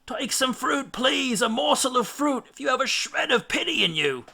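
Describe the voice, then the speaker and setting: A man's voice: very high-pitched, big pitch swings, moderate pace, gravelly, bright, forceful tone, pitched above the performer's own voice, heavily acted. A man doing a slurring, fruit-drunk sailor. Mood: begging. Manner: slurred, dreamy, wheedling. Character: dazed, fruit-drunk male sailor, friendly.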